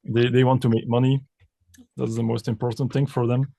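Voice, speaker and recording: A man from Belgium, a composer and pianist, answering interview questions in halting with many restarts and repeated words. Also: mid-range voice, little pitch movement, gravelly, mellow, no echo.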